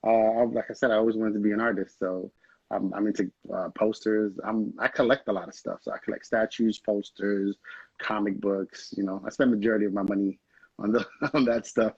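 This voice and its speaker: A man's voice: on the low side, steady tone, a little fast, creaky, darkish tone, husky, dry recording. A young man from Haiti, a film director, chatting casually and rambling in an interview, laughing often. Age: young adult. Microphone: video call. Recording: dull lo-fi video call audio.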